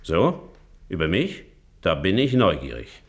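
An actor playing an affable, educated and outspoken doctor in a German radio play, with an exclamatory way of talking. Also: male voice, low-pitched, huge pitch swings, a little slow, exaggerated voice. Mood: piqued, dismissive. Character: genial, educated gentleman doctor, outspoken and easygoing.

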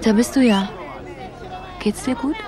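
A woman's voice: fairly high pitch, unhurried, a crowd in the background, close to an everyday voice. An actress playing a wry, curious woman traveller in a radio drama, with a dry, teasing manner. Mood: teasing, storytelling.

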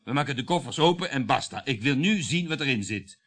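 An actor playing a gruff, impatient husband in his fifties in a radio play, curt and sarcastic. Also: medium pitch, expressive, fairly quick, fairly bright tone. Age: 50s. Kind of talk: radio drama acting.

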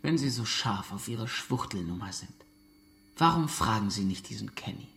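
Gravelly, defiant middle-aged man. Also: on the low side, lively intonation, normal speed, fairly bright tone. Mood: furious. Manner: curt, defiant replies. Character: defensive, bitter war-veteran uncle, gruff and volatile. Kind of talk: German radio drama acting.

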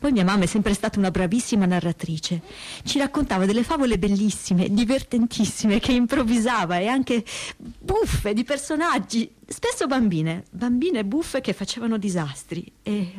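A woman acting a troubled, reflective daughter of a Holocaust survivor in a staged testimony reading, with searching, halting phrasing. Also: medium pitch, animated, a little mellow, natural voice. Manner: hesitant, searching phrasing with pauses and repetitions. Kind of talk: dramatic reading of spoken testimony.

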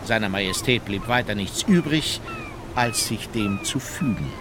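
An actor playing a resentful, wry naval officer who reads from a diary in a dry, pointed way in an audio drama. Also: male voice, mid-range voice, wildly swooping pitch, a little slow, background noise. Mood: wry resentment.

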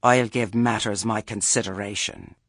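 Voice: mid-range voice, steady tone, moderate pace, a bit bright, no echo, clean studio sound, lightly acted. A narrator voicing a stern, imperious old country witch woman in a terse, sardonic, grudging way. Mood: curt, imperious.

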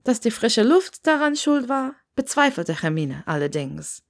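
A woman's voice: fairly high pitch, sing-song, a little slow. A woman reading scripted fiction aloud as an audiobook narrator.

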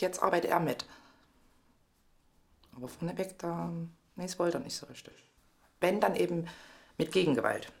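Gravelly, lowish-voiced middle-aged woman. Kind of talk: frank personal account.

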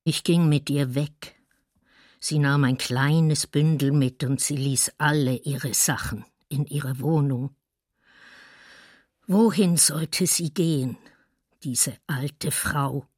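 A woman's voice: low-pitched, fairly even intonation, takes it slowly, dry recording, clean studio sound. An actress playing a woman witness giving grave testimony in a documentary radio drama. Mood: sombre recollection. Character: woman witness recalling a neighbour's killing, grave.

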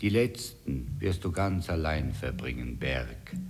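Ancient mountain, extremely deep, slow, solemn, poetic, vintage radio drama, rumbling noisy recording. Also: male voice, expressive. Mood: solemn farewell. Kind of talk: 1950s German radio drama acting.

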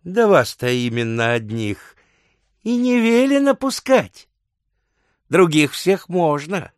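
An actor playing an insolent, blunt doorman in an audio drama, speaking in an unhurried, folksy way. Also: fairly high pitch, sing-song, no echo, clean studio sound. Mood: blunt, stolid. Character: insolent common hotel-and-mansion doorman, blunt and scornful. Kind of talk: theatrical audiobook drama acting.

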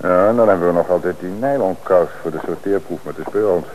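A man plays a shrewd police commissioner in an old radio play, his voice very low and his delivery measured. The recording sounds dull, with a faint hiss. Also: lightly acted. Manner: measured, authoritative. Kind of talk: radio drama acting.

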